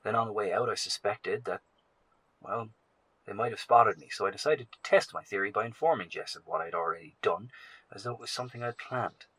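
Low-voiced, weary survivor professor. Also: male voice, fairly quick, a bit bright, slightly rough, rough recording. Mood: confiding, uneasy. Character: weary, anxious male professor surviving a nightmare apocalypse. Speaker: narrator of a survivors' broadcast. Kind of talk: horror audio drama, recorded field diary.

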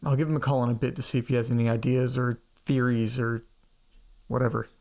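A man's voice: mid-range voice, flat delivery, fast, vocal fry, noisy background, natural voice. An actor as Nate, a hesitant, anxious young man. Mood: distracted, wry. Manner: hesitant, stammering, earnest. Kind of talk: audio drama acting, naturalistic dialogue.

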